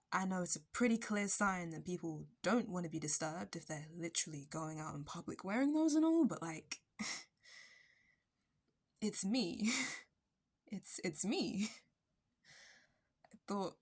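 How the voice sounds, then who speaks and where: A woman playing an obsessive, possessive barista kidnapper in an ASMR roleplay, intimate and breathy, slipping between whispers and bitter intensity. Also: fairly low pitch, heavily acted. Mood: wistful, hurt. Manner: intimate, breathy dropping into whispers, nervous laughs and sudden bitter flares.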